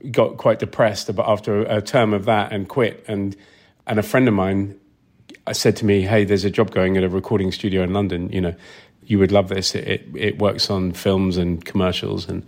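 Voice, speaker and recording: Male interview guest, fairly low voice, reflective and informal, feeling his way through answers. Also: steady tone, normal speed, a little mellow. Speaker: film sound designer being interviewed.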